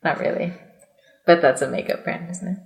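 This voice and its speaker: A woman's voice: fairly low pitch, normal speed, poor audio. A woman hosting a comedy podcast, mixing casual chat and reading aloud with giggly, self-deprecating asides.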